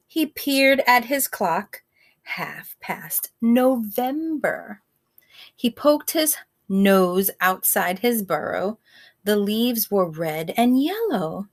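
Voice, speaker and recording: A woman reading a picture book aloud like a teacher, in simple child-directed speech, pausing to ask questions and explain words. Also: mid-range voice. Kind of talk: read-aloud storytime with asides and questions.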